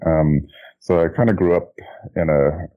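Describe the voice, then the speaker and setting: A middle-aged man from Indonesia, a guest telling his life story in a relaxed, reflective way, often restarting his sentences and chuckling. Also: deep voice, unhurried. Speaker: guest, children's book author and graphic designer. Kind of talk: relaxed interview conversation. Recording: dull lo-fi audio.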